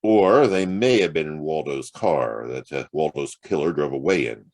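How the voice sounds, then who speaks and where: An actor playing a wry, streetwise private detective in a hard-boiled audio drama, with a sardonic, conversational delivery. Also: male voice, very deep voice, animated, moderate pace, darkish tone. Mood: earnest bargaining. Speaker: actor narrating and playing the lead.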